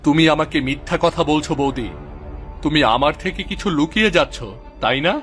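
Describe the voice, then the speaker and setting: A high-pitched, earnest young village man, played by a male actor in a cartoon drama. He speaks emphatically, pressing and pleading. Clear background music runs under his voice. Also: brisk, fairly bright tone, natural voice. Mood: insistent, agitated. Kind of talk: dramatized Bengali cartoon story dialogue. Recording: music behind the voice.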